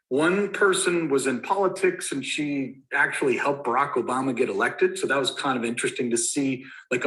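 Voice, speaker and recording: A man's voice: mid-range voice, fairly bright tone. A man in his forties from Colorado giving a motivational talk as a guest speaker, telling a personal story with acted-out moments. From Colorado, USA. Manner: storytelling, acts out the phone call. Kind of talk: motivational talk in a recorded clip. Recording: roomy echo.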